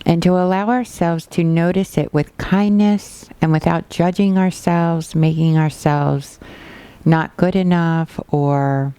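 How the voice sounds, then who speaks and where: A woman teaching a guided body scan meditation, giving gentle, unhurried instructions with pauses. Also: low-pitched, clean tone.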